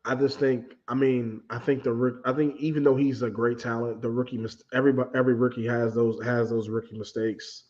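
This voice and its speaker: A man's voice: mid-range voice, flat delivery, fairly quick, hardly any pauses, darkish tone, highs cut off. A man in his late twenties, a guest on a casual sports podcast, blunt and laid-back, laughing often. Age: 20s.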